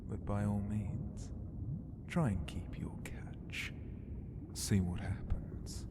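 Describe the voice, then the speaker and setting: Man as a proud giant sea god, low pitch, whispered murmur, teasing, unhurried ASMR roleplay. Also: fairly even intonation. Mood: haughty, cold. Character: huge flirty proud ocean god, gentle rescuer.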